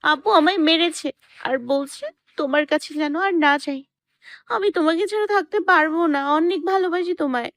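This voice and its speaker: High-voiced lovesick young woman, acted by a woman. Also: lively intonation, moderate pace, no echo, studio quality, natural voice. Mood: tearful pleading. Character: tearful, lovesick young woman, clingy and demanding. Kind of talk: acted dialogue in an audio love story.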